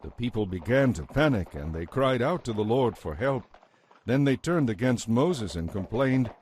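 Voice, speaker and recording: A man narrating scripture as a dramatized reading, in a measured, declarative manner. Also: medium pitch, lively intonation, darkish tone, slight background noise. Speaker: Bible narrator.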